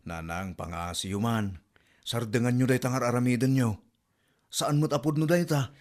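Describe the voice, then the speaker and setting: A man's voice: mid-range voice, moderate pace. Man, Ilocano accent, bewildered husband with curt questioning replies. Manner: puzzled, short questioning replies. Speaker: husband and son in a comedy drama.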